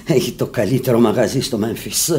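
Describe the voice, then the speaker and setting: A woman's voice: very deep voice, dark tone. An actress playing a sick, weary, stubborn and desperate woman in a Greek radio drama, pleading and sometimes defiant. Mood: wistful.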